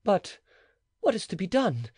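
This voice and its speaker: A man's voice: high voice, big pitch swings, measured pace, a little mellow, breathy edge, no room sound, studio quality, pitched above the performer's own voice, heavily acted. A man reading the part of a desperate, anguished nobleman who pleads emotionally. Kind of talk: audiobook character reading.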